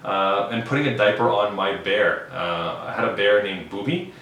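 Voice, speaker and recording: A man in his thirties from Canada, talking casually to camera about himself in a relaxed, thoughtful way, with many fillers and restarts. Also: fairly low pitch, moderate pace. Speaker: vlogger, high school science teacher. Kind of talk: casual personal vlog. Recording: very echoey room, faint background noise.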